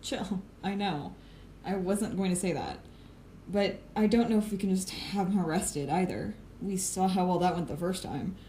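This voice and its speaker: A woman's voice: lowish voice, normal speed, mellow, natural voice. A woman acting a sardonic, matter-of-fact superpowered team planner in an audio drama. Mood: confident, plotting. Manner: casual, swearing, matter-of-fact. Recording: clear background noise.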